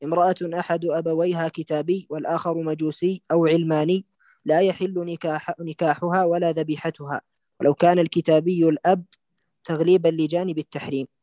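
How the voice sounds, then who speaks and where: A man, a student, reading rule texts aloud in a flat recited manner during an online lesson. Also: on the high side, fast, no echo.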